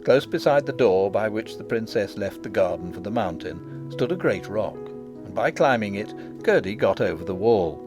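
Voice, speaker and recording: A man's voice: on the low side, lively intonation, moderate pace, music in the background. A man reading a children's fantasy novel as scripted audiobook narration. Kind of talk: scripted storybook narration with character voices.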